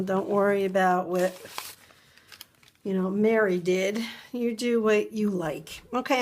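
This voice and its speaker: A middle-aged woman talks slowly and easily as she crafts at her desk, thinking out loud and repeating little words to herself. Her voice carries a slight stuffiness from a cold, and her pitch is mid-range. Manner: chatty, musing aloud, repeats words to herself. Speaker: craft video host. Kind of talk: relaxed crafting tutorial narration. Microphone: overhead camera above a desk, hands only.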